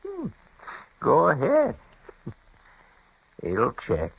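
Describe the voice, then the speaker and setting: An actor playing an ornery, evasive frontier rancher with a sly, defensive, folksy way of talking, in a 1950s radio drama. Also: male voice, on the high side, huge pitch swings, extremely slow, creaky, slightly rough, full character voice. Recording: dull old radio broadcast.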